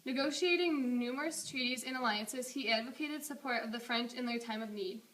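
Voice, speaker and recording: A woman's voice: a little high, a little fast, fairly bright tone. A young woman presenting a scripted segment in an amateur newscast. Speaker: news presenter in a history project. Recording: distant mic with faint noise.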